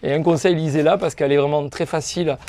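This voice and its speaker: A man's voice: on the high side, fairly even intonation, fast, a little mellow, slight background noise. A man giving an informal, step-by-step product tutorial as a shop presenter, explaining things directly to viewers.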